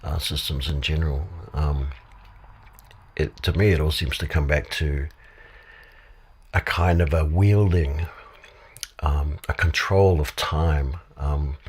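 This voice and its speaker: A man with a cold and a very deep, husky voice, talking in a rambling way with many fillers in a casual discussion. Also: steady tone, takes it slowly, long pauses. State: has a cold. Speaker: podcast guest. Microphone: studio microphone.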